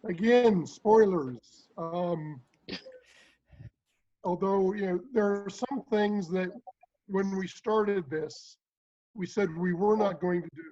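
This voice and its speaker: A man chatting casually on a live panel as a writer, measured and guarded in how he answers. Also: sits high, a little mellow, muffled recording. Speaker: audio drama writer on a cast panel.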